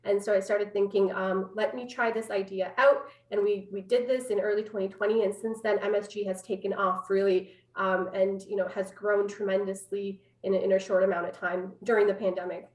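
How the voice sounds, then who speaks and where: A woman's voice: mid-range voice, level tone, fairly quick, hardly any pauses. A woman in her thirties with a Canadian accent, explaining her work conversationally as an interview guest over a video call from home. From Canada, born in Afghanistan. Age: 30s. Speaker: interview guest, public health scientist. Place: room at home. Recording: roomy, distant video-call microphone.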